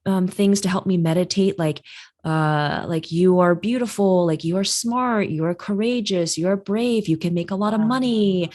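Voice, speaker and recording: A woman whose first language is Chinese, hosting a casual interview and telling stories in an animated way, with many "like" fillers. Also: medium pitch, normal speed. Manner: animated storyteller, quotes people in lively voices. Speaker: host, career astrologer.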